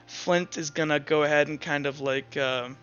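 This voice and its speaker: Bright-voiced man narrating a tabletop game. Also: on the high side, steady tone, clear, resonant tone. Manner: halting, improvised narration. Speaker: game master. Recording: dull lo-fi audio under music.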